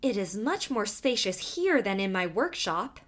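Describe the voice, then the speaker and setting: A woman's voice: mid-range voice, big pitch swings, measured pace, fairly bright tone. A woman voicing a cocky, cunning and cheerful little man, a boastful tailor in a storybook reading. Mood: musing. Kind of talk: storybook character voice.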